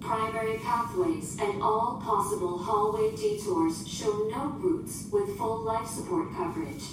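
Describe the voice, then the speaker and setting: A woman's voice: a little high, lively intonation, a little slow. A woman playing a literal, formal ship computer in a science fiction audio drama, speaking in precise, emotionless phrasing. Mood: calm, instructive. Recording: very echoey, distant, noisy ambience.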